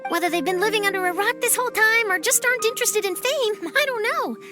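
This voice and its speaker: An actress playing an upbeat, inquisitive young journalist in a video game cutscene. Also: female voice, very high voice, expressive, fast talker, loud music behind the voice. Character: upbeat curious young woman reporter.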